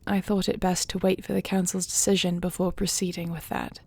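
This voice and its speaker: A woman playing a dragon queen and devoted wife in an audio roleplay, speaking in a formal, measured, earnest way. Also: lowish voice, flat delivery, creaky, slightly rough. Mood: reassuring, resolute.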